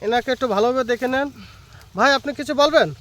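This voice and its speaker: A man's voice: extremely high pitch, fast talker, a bit bright. A man presenting an informal vlog, addressing viewers directly and repeating himself. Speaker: vlogger, host. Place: outdoors in a guava orchard. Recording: outdoor recording with faint background noise.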